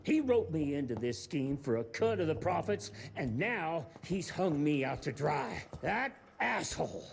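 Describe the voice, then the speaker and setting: A man's voice: on the high side, sing-song, a little slow, creaky, slight background noise, exaggerated voice. A man voicing a nervous, whining accountant hiding out, in a game cutscene. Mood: jittery. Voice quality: jittery, whining.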